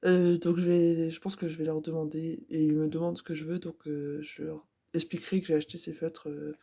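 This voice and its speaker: A woman's voice: low-pitched, level tone, a little fast, vocal fry, warm tone, husky. A woman in her twenties with a stuffy nose from a cold, chatting casually and hesitantly about her crafts. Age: 20s. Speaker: craft vlogger. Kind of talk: casual chat.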